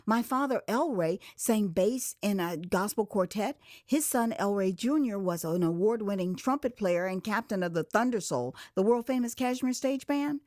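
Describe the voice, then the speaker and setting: An American woman narrating her own memoir as an audio drama, in an expressive, theatrical way with pauses. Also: mid-range voice, no room sound, clean studio sound. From Texas, United States. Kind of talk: dramatized first-person audio memoir storytelling. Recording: music behind the voice. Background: music.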